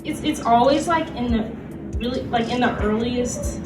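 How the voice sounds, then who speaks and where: A woman's voice: on the high side, normal speed. A woman giving an informal panel presentation in a conversational, somewhat hesitant way, peppered with "like". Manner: hesitant, conversational, frequent "like" as filler. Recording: echoey room from far off, music behind.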